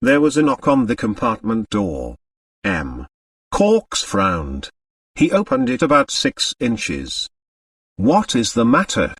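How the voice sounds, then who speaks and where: Animated male audiobook narrator. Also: lowish voice, takes it slowly, gravelly, no echo, studio quality, distant mic. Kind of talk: scripted literary narration.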